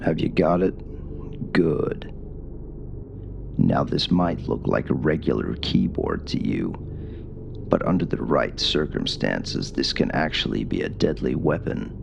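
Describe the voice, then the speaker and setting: An extremely deep, heavily creaky military commander, acted out by a man, speaks softly over clear background noise. The delivery is hushed and deliberate, like a gruff officer briefing a soldier in an ASMR radio roleplay. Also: sing-song, heavily acted. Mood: grave, instructing. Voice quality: gravelly, hushed.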